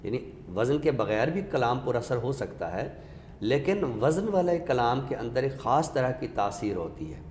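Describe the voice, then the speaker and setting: A man with a Pakistani accent giving a deliberate, didactic explanation in a literary discussion, pausing for emphasis. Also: medium pitch, very animated, a little mellow. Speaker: literary scholar and guest speaker. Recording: echoey reverberant room with background noise.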